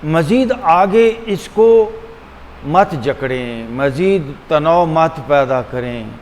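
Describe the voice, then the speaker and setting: A man leading a guided meditation as a teacher, speaking deliberately and repetitively with frequent pauses, mixing two languages. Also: fairly high pitch, animated. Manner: switches between Hindi-Urdu and English. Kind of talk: guided meditation, calm instruction. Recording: long hall reverb, faint background hum.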